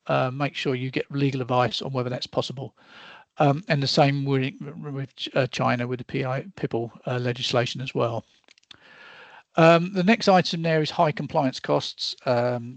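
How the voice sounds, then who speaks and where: A man's voice: mid-range voice, vocal fry, dry recording. A middle-aged man presenting a webinar on slides, rambling and hesitant with self-deprecating asides, and losing his voice.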